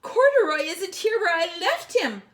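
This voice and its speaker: A woman's voice: very high-pitched, sing-song, a little slow, fairly bright tone, pitched above the performer's own voice, heavily acted. A woman voicing an affectionate, earnest young girl in a children's storybook. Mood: distressed.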